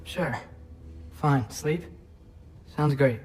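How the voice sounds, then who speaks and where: A man's voice: medium pitch, drawn-out words, a little mellow, breathy edge, soft background music. A man playing an anxious, frustrated teenage boy demigod in a video game cutscene. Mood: sulky.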